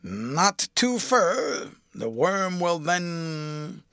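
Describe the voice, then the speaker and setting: A man voicing a grumpy, plain-spoken coach driver who talks slowly and grudgingly, in an audiobook. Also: on the high side, big pitch swings, fairly bright tone, clean tone, no echo, clean studio sound, exaggerated voice. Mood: testy. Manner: grudging, slow and deliberate. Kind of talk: audiobook character voice.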